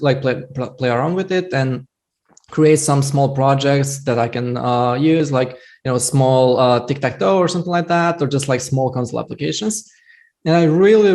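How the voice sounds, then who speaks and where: A man's voice: mid-range voice, darkish tone. A young man from Croatia, an English speaker, telling his career story casually on a headset mic in a small home room, with many fillers and restarts. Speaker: software developer guest.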